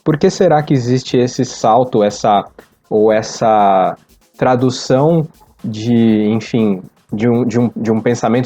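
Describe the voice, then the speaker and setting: A Brazilian man discusses casually on a podcast, feeling his way through sentences and often correcting himself. His voice is medium in pitch and fairly mellow. The recording is a little dull and lo-fi. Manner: hesitant, rambling, self-correcting. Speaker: podcast participant.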